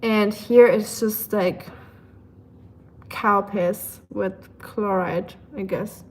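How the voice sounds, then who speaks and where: A woman's voice: mid-range voice, steady tone, extremely slow. A woman with a German accent chatting casually to livestream viewers, thinking aloud in a rambling way with dry humor. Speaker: livestream host. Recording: clear background ambient noise.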